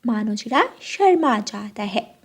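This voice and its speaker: A woman's voice: mid-range voice, lively intonation, a little slow, slightly rough. A woman narrator reading a scripted cartoon story.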